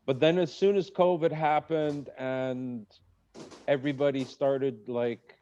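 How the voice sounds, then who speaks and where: A man's voice: mid-range voice, measured pace, muffled recording. A man, a comedian guest, chatting casually on a podcast in a rambling, jokey way. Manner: rambling, jokey, lively asides.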